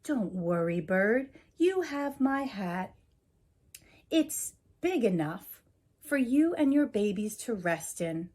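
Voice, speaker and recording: A woman voicing a kind, generous snowman from a children's storybook in a gentle, reassuring manner. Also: medium pitch, big pitch swings, takes it slowly. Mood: kindly, reassuring.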